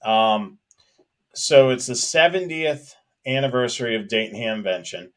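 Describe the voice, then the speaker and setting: A man's voice: medium pitch, unhurried. Man co-hosting a casual hobby live stream. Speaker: co-host of a live hobby show about police scanners.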